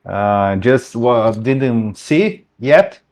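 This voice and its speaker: A young Brazilian man with a Brazilian Portuguese accent, racing winner giving a post-race interview over a headset, searching for words in halting English. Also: mid-range voice, animated, a little mellow. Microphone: headset over voice chat.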